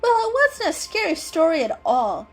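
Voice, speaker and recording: A woman's voice: very high voice, huge pitch swings, moderate pace, soft background music, pitched above the performer's own voice, full character voice. A woman voicing a sarcastic, irritable female house cat who needles and scorns in a children's story reading. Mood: scornful. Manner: sarcastic, prodding.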